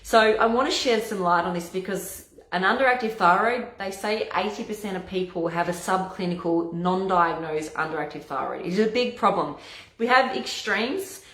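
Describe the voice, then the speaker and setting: Naturopath lady w a hoarse voice just chatting, trips over words a bit, room echo. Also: medium pitch, normal speed, a bit bright. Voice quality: hoarse, husky voice. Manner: chatty, stumbles and restarts words. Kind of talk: casual talk to camera. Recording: echoey room.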